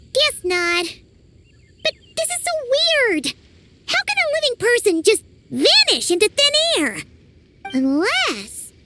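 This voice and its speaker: A woman voicing a tiny, excitable, chatty fairy girl companion in a video game, with a squeaky childlike voice. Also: slight background noise, full character voice. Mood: puzzled. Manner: exclamatory, childishly eager. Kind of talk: video game character acting. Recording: game music behind the voice.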